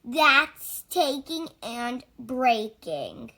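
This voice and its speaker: Woman as an earnest, bright-voiced boy mackerel. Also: high-pitched, very animated, extremely slow, full character voice. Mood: reproachful. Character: young boy mackerel, the shark's reproachful best friend.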